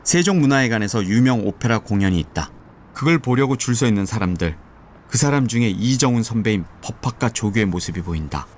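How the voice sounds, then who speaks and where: Low-voiced male drama narrator. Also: a little fast, slight background noise. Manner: even, descriptive storytelling. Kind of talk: scripted radio drama narration. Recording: music behind the narration.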